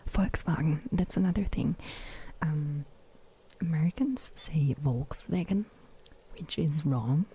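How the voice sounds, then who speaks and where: Woman ASMR streamer, whispers close to the mic, slow and mellow, replies to chat. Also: deep voice, breathy edge. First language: German. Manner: whispering, reading out and answering chat. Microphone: sensitive ASMR microphone.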